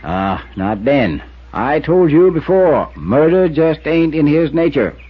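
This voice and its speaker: A man's voice: a little high, big pitch swings, slightly rough, heavily acted. An actor playing a plainspoken, wry small-town lawman with a folksy rural American accent in a 1950s radio drama. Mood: firm, defensive. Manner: folksy, unhurried, colloquial. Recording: dull lo-fi 1950s radio recording.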